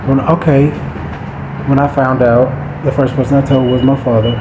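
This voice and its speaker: A man's voice: mid-range voice, flat delivery, moderate pace. A man in his forties telling a personal story casually, rambling and correcting himself, acting out past conversations. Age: 40s. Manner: rambling, self-correcting, acts out remembered conversations. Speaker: vlogger. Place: room with an open window, abroad. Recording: roomy, dull sound with loud background noise. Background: music and street traffic through the window.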